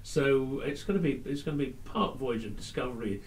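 A man's voice: mid-range voice, expressive, brisk. A man, an actor chatting enthusiastically in an interview, joking and restarting his sentences. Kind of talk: casual interview chat. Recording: roomy echo, distant from mic, faint noise.

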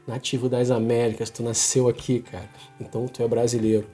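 A man's voice: mid-range voice, flat delivery, fairly quick, dark tone, husky, faint music behind. A Brazilian man in his forties giving an informal, emphatic opinion monologue to camera in colloquial, slangy speech. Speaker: vlogger. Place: small home office, indoors.

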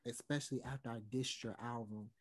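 A man's voice: mid-range voice, fairly even intonation, fairly quick, natural voice. A young man playing a regretful, closeted touring musician, earnest and hesitant as he pleads to reconcile in a play read over video call. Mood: earnest, pleading. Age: young adult. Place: small room at home, indoors.

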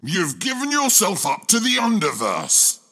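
An actor playing a surly lone wolf warrior in a game, with a gritty, husky voice. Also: male voice, sits high, wildly swooping pitch, measured pace, pushed and bright, heavily acted. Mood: surly, defiant. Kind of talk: game voice lines, dramatic acting.

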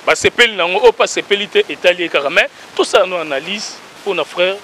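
An energetic man, hosting a talk show from an outdoor garden. His voice sits high and sounds bright and forceful as he reels off greetings and talking points into a handheld mic. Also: fast talker, a little hiss or hum. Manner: energetic, emphatic, rattles off shout-outs. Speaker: host.

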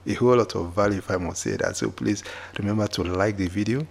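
A man walking viewers through a software tutorial, often repeating himself and checking in with "okay?". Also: on the low side, sing-song, fast, creaky. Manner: repetitive, with frequent "okay?" checks. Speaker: tutorial host. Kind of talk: screen-recorded software tutorial. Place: indoors at a computer, voice-over on screen capture.

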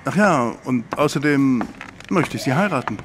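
An actor playing a flustered, persistent suitor of about fifty in an audio drama, speaking haltingly and earnestly. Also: male voice, mid-range voice, expressive, background noise, natural voice. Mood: earnest, casual. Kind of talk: German audio drama acting.

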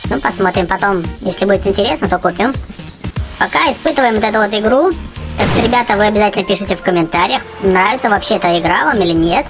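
A woman hosting a gaming channel for children, giving chatty, excitable running commentary on her play. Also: medium pitch, fast talker. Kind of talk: casual game commentary for children. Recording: game music behind the voice. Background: video game music.

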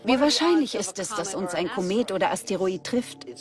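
A woman's voice: mid-range voice, animated, normal speed, natural voice. A woman playing a probing documentary interviewer, narrating and asking questions. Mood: probing, serious.

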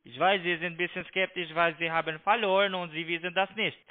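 High-voiced foreign man on the phone. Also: steady tone. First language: not German. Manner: halting broken German, repetitive. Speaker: scam call-centre agent. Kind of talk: phone sales pitch. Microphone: telephone. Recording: narrow tinny phone line.

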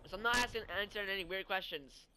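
A man's voice: high voice, quick pace, bright, forceful tone, a little hiss or hum, low-quality recording. A young man, chatting and telling stories casually over gameplay in a rambling, informal way. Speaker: gaming video creator.

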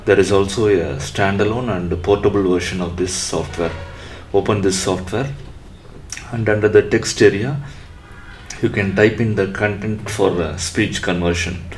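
A man presenting an electronics tutorial step by step in a halting, instructional way. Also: low voice, a little hiss or hum.

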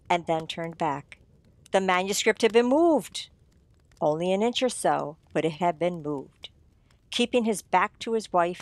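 A woman's voice: lowish voice, dry recording. A woman narrating a suspense radio play in a dramatic, scripted storytelling style.

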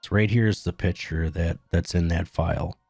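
A man narrating a step-by-step software tutorial in a plain, instructional way. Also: low-pitched, steady tone, normal speed, gravelly, slightly rough, no echo, faint music behind. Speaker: tutorial host.

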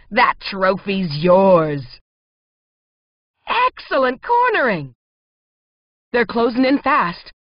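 A cheeky arcade racing game announcer, female and high-voiced, stretching out punchy calls at a very slow pace. The sound is dry and slightly dull, like lo-fi console audio from the nineties. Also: no echo, exaggerated voice. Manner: punchy, emphatic short calls. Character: spirited, cheeky female race announcer egging the driver on. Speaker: race announcer in a video game.

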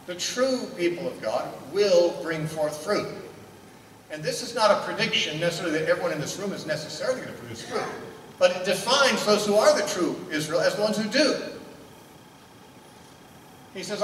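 Older male preacher, high-pitched, teaching tone, asks and answers his own questions, echoey hall, heard from a distance. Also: a bit bright, slight background noise. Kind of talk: sermon. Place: indoor meeting room. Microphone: handheld mic.

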